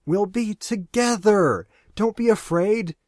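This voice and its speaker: A woman's voice: mid-range voice, slow, a little mellow, no room sound, clean studio sound, pitched above the performer's own voice, lightly acted. A man voicing an ecstatic, tearful young woman who speaks breathlessly between sobs. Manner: breathless, sobbing, pleading.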